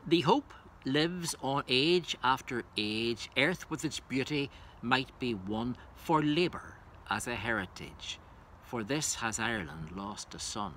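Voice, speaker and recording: A man speaking as a poetry reader, introducing and reciting verse in a measured way. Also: medium pitch, expressive, pushed and bright, a little hiss or hum.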